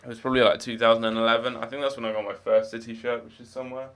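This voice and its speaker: A man's voice: mid-range voice, little pitch movement, very fast, a bit bright. A young man with no marked accent, chatting casually while live-streaming a game, rambling with many fillers and restarts.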